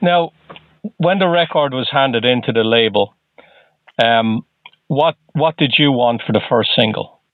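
A man's voice: medium pitch, a little slow, pauses often, no room sound. A man interviews a musician, asking short, attentive questions. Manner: brief, attentive, short prompts. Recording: dry tinny phone line.

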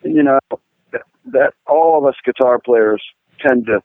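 A man from Pittsburgh, a guitar-playing guest, telling rambling, animated stories with lots of fillers. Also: medium pitch, normal speed, no echo. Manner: rambling, animated, fillers, laughs at own jokes. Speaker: guest musician, guitar player. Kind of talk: casual storytelling interview. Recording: tinny phone-line sound, dry.

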